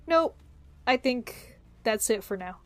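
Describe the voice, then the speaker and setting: A woman's voice: on the high side, lively intonation, takes it slowly, no room sound. A woman playing a tabletop roleplaying game, chatting casually as she works out her character's moves.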